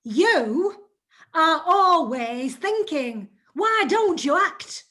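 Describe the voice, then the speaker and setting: An actress playing a bossy, sharp-tongued schoolmaster's wife who scolds constantly. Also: female voice, very high voice, sing-song, very slow, full character voice. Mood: scolding.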